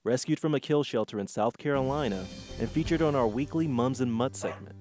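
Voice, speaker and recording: A man's voice: mid-range voice, a little fast, darkish tone, faint music behind. A man with an American accent reading scripted TV news as an anchor and reporter. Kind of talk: scripted broadcast news narration.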